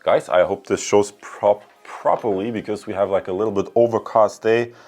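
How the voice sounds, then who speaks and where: A man's voice: fairly low pitch, steady tone, moderate pace, a little mellow, soft background music. A man presenting a casual, explanatory tech review, speaking enthusiastically and directly to viewers.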